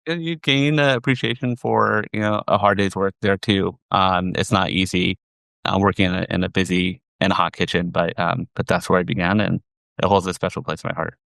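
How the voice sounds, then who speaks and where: A middle-aged man with an American accent, giving measured, explanatory answers as an interview guest. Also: deep voice, fairly even intonation, gravelly, fairly bright tone, no echo. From southern California, USA. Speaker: interview guest, finance executive.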